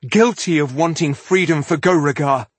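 A man's voice: a little high, moderate pace, slightly rough, dry recording, pitched above the performer's own voice. A man voicing a fierce, defiant young woman rebel in a fantasy audiobook, speaking with urgent, accusing force.

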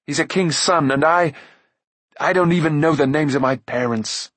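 A man's voice: a little high, normal speed, a bit bright, husky, no echo. A man voicing a proud young pig keeper who grumbles sulkily and snaps with indignation. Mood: indignant.

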